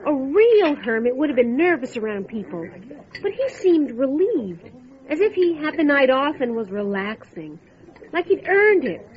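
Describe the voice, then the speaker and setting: A wry, chatty diner waitress in an old-time radio horror drama. Her high voice swoops expressively as she muses and tells tales. The sound is slightly dull and lo-fi. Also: normal speed. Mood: musing, gossipy. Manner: musing, expressive storytelling. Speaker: voice actor.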